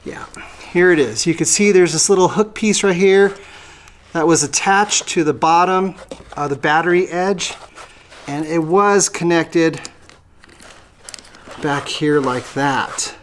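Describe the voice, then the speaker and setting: An American how-to host with a mid-pitched voice, explaining a hands-on job step by step in a casual, conversational way. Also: slow, pauses often. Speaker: host of an automotive how-to channel. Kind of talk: casual how-to tutorial narration.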